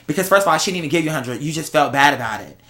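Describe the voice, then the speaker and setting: A speaker chatting casually to viewers as host, telling stories in a sassy, slangy, exclamatory way with drawn-out emphasis. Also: medium pitch, lively intonation, a bit bright. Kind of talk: casual storytelling chat to viewers.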